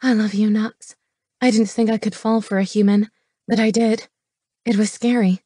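Passionate shifter heroine, voiced by a woman narrator: soft, breathy, yielding, close dry audiobook sound. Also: medium pitch, level tone, normal speed, no echo, lightly acted. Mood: tender. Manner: gasping, breathy, soft delivery. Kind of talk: romance audiobook character voice.

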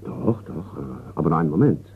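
An actor playing a reserved, courteous businessman and collector in a radio crime play, speaking in a measured way. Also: deep voice, expressive. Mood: guarded. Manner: measured, polite. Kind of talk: German radio drama acting. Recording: tinny, noisy radio recording.

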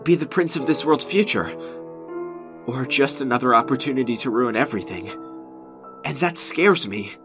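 An anxious young male goat monster prince played by a man, full of guilt and self-doubt. His mid-pitched voice swings widely with hesitant, expressive delivery. Music plays clearly behind him in this comic dub. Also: normal speed, long pauses, close to an everyday voice. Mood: fearful, regretful. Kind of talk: animated comic dub voice acting.

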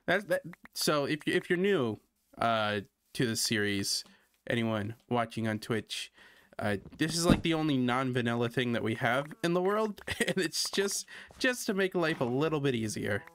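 Chatty Canadian gaming streamer. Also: male voice, medium pitch, lively intonation, a little slow, a bit bright. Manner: chatty, self-deprecating, thinking aloud. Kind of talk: casual gameplay commentary.

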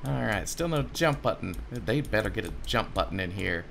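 A man's voice: lowish voice, animated, moderate pace, gravelly, a bit bright, slight background noise. A man in his thirties giving casual, muttered, profane video game commentary. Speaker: video game streamer voicing over a game. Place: small home room. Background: game music.

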